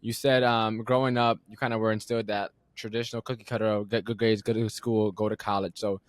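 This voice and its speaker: A young adult man hosting a podcast, chatting in a relaxed, conversational way with casual slang. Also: on the low side, fast talker, no room sound. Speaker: podcast host. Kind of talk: casual interview chat.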